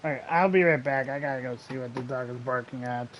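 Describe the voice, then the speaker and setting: A man's voice: medium pitch, quick pace, slight background noise. A man chatting casually as he streams a game and reacts to it.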